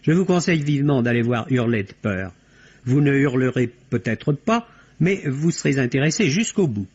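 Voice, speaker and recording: A man's voice: mid-range voice, expressive, normal speed, darkish tone, slight background noise. A man delivering a formal, articulate, scripted film review as a radio critic. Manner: articulate, formal, literary phrasing. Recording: dull, band-limited vintage radio recording.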